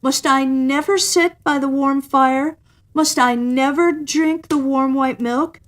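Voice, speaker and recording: A woman's voice: high voice, fairly even intonation, pitched above the performer's own voice. A woman voicing a proud, cunning male wild cat in a storybook, declaiming in a haughty way. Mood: mock sorrowful, pleading. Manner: haughty, declaiming, pleading with drawn-out words.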